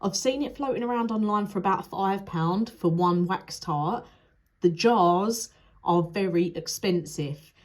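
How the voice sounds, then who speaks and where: A woman's voice: medium pitch, a little slow. A young woman reviewing candles in a chatty, frank manner, sounding nasal and sniffly from a cold. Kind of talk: casual product review vlog.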